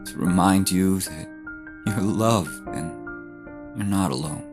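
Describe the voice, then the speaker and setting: An actor playing a dead man who writes tender letters to a partner, in halting, intimate audio drama delivery. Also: low-pitched, animated, vocal fry, over music, heavily acted. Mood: tender, solemn.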